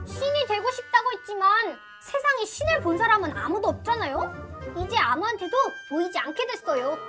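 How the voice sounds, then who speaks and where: Woman voicing a very high-pitched, polite magic fish. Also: animated, a little fast, music in the background. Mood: polite, bright. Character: magic fish, polite, helpful, youthful wish-granter. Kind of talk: children's storybook acting.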